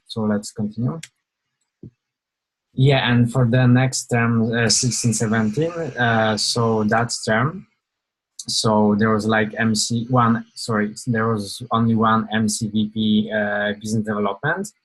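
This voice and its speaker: A man's voice: lowish voice, fairly even intonation, measured pace, low-quality recording. A young man from Poland, giving an informal webinar presentation over a video call, hesitant with many fillers and restarts.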